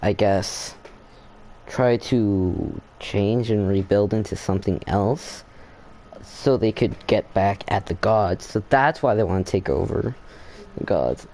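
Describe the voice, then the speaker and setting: A young man comments casually on a game he is playing. The voice is low and dark, with a halting, rambling delivery as he thinks aloud. Faint noise sits behind it. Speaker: gaming video host.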